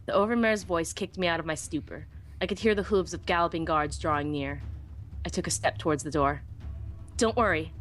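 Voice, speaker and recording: A woman's voice: sits low, brisk, faint music behind. A woman narrating a scripted first-person story dramatically, as in a fan audiobook.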